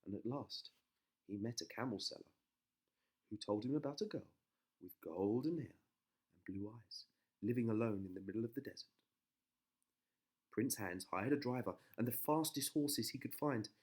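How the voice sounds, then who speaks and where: A man's voice: mid-range voice, expressive, normal speed, long pauses, a little mellow, husky. A man narrating a children's bedtime story as a dramatic storyteller.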